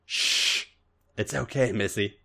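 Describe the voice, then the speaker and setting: A man's voice: lowish voice, takes it slowly, creaky, pushed and bright, husky, heavily acted. A man voicing a bossy, impatient woman who can turn tender, as dialogue in a story reading. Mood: soothing.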